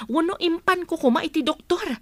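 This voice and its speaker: A woman's voice: very high-pitched, a little fast, a bit bright, dry recording. A woman acting an indignant young sister who protests emphatically in a radio comedy drama.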